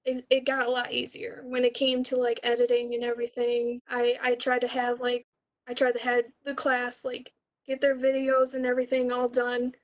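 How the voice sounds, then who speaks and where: A woman's voice: fairly high pitch, monotone, moderate pace. A young woman, a student director, answering questions informally about her own project. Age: young adult.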